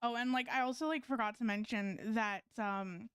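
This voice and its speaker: Casting: female game streamer, fairly high, smooth voice, casual rambling chat on a close, dry mic. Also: moderate pace, a bit bright, dry recording, faint music behind. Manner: chatty, hesitant phrasing. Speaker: game livestreamer.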